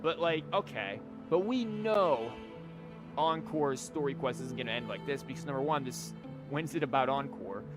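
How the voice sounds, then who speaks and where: Male game commentator, average pitch, joking and rambling, casual stream feel, music clearly behind. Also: animated, normal speed. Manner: wry, joking, rambling asides.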